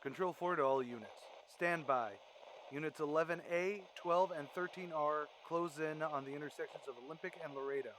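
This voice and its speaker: A man's voice: fairly high pitch, brisk, a bit bright, a little hiss or hum, close to an everyday voice, low-quality recording. A man playing a businesslike police dispatcher in a radio crime drama, calling units in a clipped, procedural way. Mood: brisk, official.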